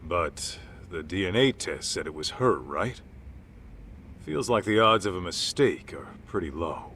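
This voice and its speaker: A gruff middle-aged detective for a video game drama. His voice sits low with a bright, tense edge and moves around animatedly. He talks in blunt, informal phrases, like someone with little patience left, over faint background ambience. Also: animated, a little slow, a little hiss or hum, exaggerated voice. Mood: probing, skeptical. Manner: informal, blunt, clipped tough-guy phrasing. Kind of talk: video game cutscene dub acting.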